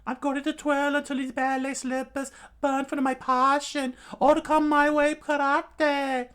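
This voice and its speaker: A man's voice: extremely high pitch, little pitch movement, a little slow, a bit bright, pitched above the performer's own voice. A man voicing a villain who imitates a cute young woman, coy, cooing and affected. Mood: playfully menacing.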